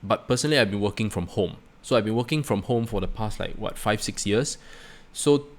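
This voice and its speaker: A middle-aged man with a Singaporean accent talking reflectively and casually, halting and repeating words, often checking in with "right?". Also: lowish voice. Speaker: host of a personal finance channel.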